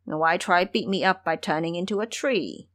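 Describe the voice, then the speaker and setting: A woman's voice: fairly low pitch, moderate pace, fairly bright tone, close to an everyday voice. A woman voicing a cowardly, pleading male pig-monster in an audio story, protesting and exclaiming. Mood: indignant.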